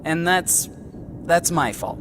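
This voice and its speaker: Man acting high-voiced, stammering guilty young guy. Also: animated, slow pace, noisy background. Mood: flustered, guilty. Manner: stammering, hesitant, defensive. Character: guilty, evasive young male student confessing. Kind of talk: audio drama dialogue.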